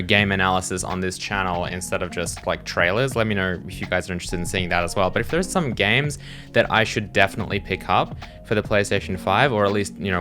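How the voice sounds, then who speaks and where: Low-voiced man, thinking aloud casually. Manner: hesitant, rambling, thinking aloud. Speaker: host reacting to game trailers as a game developer. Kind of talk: casual unscripted reaction commentary.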